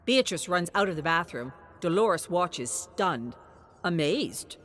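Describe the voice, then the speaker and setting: A woman reading a scripted dramatic story in an expressive, measured way, in short phrases. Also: on the low side, faint music behind. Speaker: narrator.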